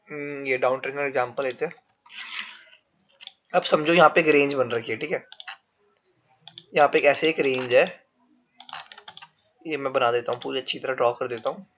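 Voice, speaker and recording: A man's voice: fairly high pitch, a bit bright, slight background noise. A man with a sore throat teaching a trading lesson while drawing on a chart, explaining step by step in a casual way. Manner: explains step by step, calls viewers "bhai". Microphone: no proper mic yet. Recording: dull lo-fi recording without a proper mic.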